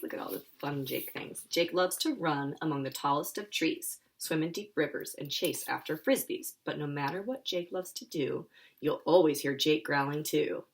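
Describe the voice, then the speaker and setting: A woman's voice: sits low, moderate pace. An American woman, a children's author, chatting informally and reading her picture book aloud for young listeners. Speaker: children's author and school counselor.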